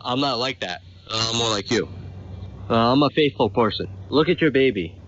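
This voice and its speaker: A man's voice: mid-range voice, expressive, normal speed, close to an everyday voice. A man playing an earnest grown son in a dubbed TV drama, coaxing and repeating himself reassuringly. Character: placating, earnest grown son soothing his mother. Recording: dull lo-fi radio capture, faint music.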